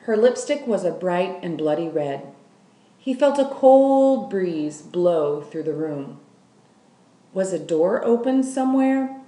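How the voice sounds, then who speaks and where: A woman's voice: on the low side, expressive, takes it slowly, dark tone, distant mic. A woman reading a children's story aloud as scripted narration. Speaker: school staff member reading aloud. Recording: echoey room.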